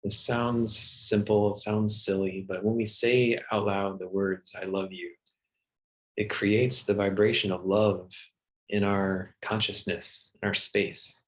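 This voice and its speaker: A man leading a guided meditation in a soothing, gentle manner with long pauses. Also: on the low side, flat delivery, poor audio. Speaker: meditation teacher.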